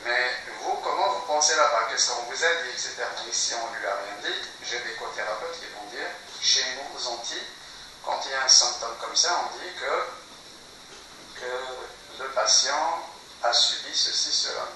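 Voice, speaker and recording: A man's voice: mid-range voice, normal speed, bright, forceful tone. A man, an ethnopsychiatry researcher and therapist, explains his work in an interview, mixing anecdotes with rhetorical questions. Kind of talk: interview answers. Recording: echoey room, distant mic, background noise.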